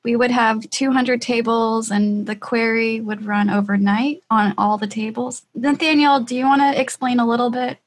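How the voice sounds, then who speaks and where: A woman's voice: a little high, level tone, moderate pace, hardly any pauses. A woman hosting a podcast, interviewing in a conversational style. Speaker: podcast host.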